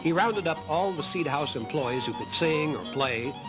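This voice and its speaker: Higher-pitched man narrating a documentary. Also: expressive, normal speed, loud music behind the voice. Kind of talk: scripted narration.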